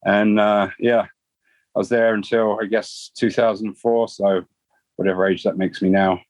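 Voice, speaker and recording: A man's voice: lowish voice, normal speed, no room sound. A man in his forties with a London accent, a guitarist chatting casually in an interview with lots of fillers. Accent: London English. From England. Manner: relaxed, rambling, many fillers.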